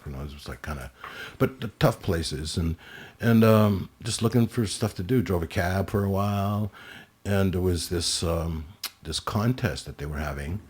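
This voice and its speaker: A man's voice: sits low, normal speed, breathy edge. A middle-aged French Canadian man, a guest telling stories with lots of laughter in a casual podcast chat. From Montreal, Quebec. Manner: animated storyteller who laughs a lot.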